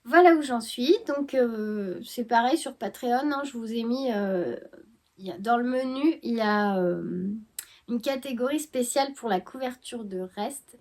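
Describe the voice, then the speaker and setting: A woman's voice: fairly high pitch, a little slow, a little mellow. French woman with a stuffy head cold. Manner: hesitant, many fillers and restarts. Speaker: knitting vlogger. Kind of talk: casual chat to camera.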